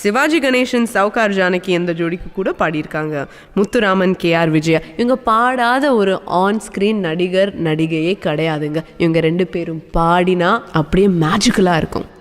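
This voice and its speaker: A woman's voice: on the low side, moderate pace. Woman radio host with long reverb. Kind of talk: upbeat radio show presenting.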